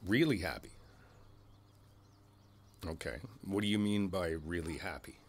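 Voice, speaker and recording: A man playing an easygoing, friendly older neighbor in a conversational ASMR roleplay, speaking thoughtfully with hesitant pauses. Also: sits low, slight background noise. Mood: thoughtful, gentle. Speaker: voice actor in an audio roleplay. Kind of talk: ASMR roleplay, one side of a casual conversation. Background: outdoor ambience with birds.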